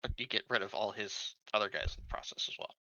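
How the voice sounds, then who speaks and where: A man playing a tabletop roleplaying game, thinking aloud in a casual, halting way as he suggests plans. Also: lowish voice, fairly even intonation, bright, forceful tone, no echo, dull audio.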